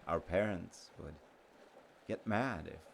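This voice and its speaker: A man playing a sweet, slightly slow, sentimental childhood friend in an intimate audio roleplay, speaking gently and haltingly, with trailing pauses. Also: deep voice, creaky, slight background noise, heavily acted. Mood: fond reminiscing.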